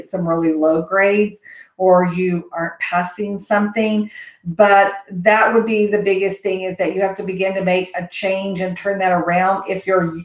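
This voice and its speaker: A woman with a Texas accent hosting a casual question-and-answer session on college admissions, rambling with frequent ums and restarts. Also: medium pitch. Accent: Texan. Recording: echoey room.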